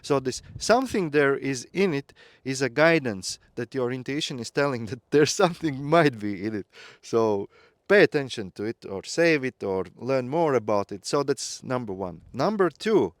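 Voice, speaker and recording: A man's voice: medium pitch, expressive, moderate pace, no echo. Earnest man. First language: Latvian. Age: 30s. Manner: reflective, earnest, with light laughs. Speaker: growth mentor. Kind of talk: personal storytelling talk.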